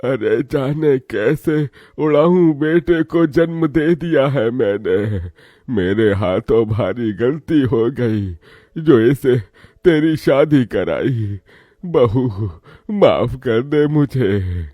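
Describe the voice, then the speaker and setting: A man voicing a frail, emotional old grandfather with a drawn-out, emphatic delivery in a cartoon story. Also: medium pitch, lively intonation, dark tone, no echo, clean studio sound, voice pushed up. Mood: remorseful, tearful. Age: older. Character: sick old grandfather, frail, scolding, grieving, tender to daughter-in-law.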